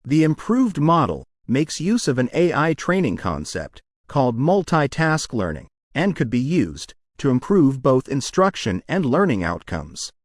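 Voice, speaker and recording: A man narrates short study passages in a measured, deliberate way. His intonation moves freely up and down across each phrase. The sound is dry and close, with no room around it. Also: medium pitch, very animated, no room sound. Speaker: narrator of English test practice passages. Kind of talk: scripted read-aloud narration.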